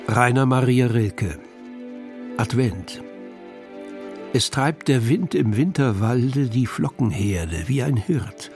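A man reads verse aloud at a very unhurried pace, his voice sitting at a middle pitch. His delivery is measured and expressive, like a scripted recitation for children's radio. Soft music plays faintly underneath. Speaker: poetry reader.

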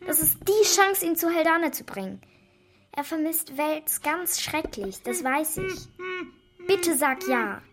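Excitable high-voiced young girl, pleading and insistent. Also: slow, full character voice. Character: eager, scheming young girl devoted to her dog. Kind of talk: children's radio drama acting.

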